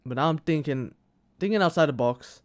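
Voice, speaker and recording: Young Thai male co-host, English as a second language, smooth clear tone, halting delivery. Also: fairly high pitch, lively intonation. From Thailand. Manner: halting, with restarts and "hella" slang. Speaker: podcast co-host. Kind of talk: casual chat.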